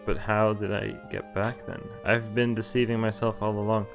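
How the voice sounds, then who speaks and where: A man's voice: lowish voice, level tone, moderate pace, creaky. A man reading visual novel dialogue and narration aloud in a conversational way, sometimes stumbling and correcting himself. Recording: game music behind the voice. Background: visual novel music.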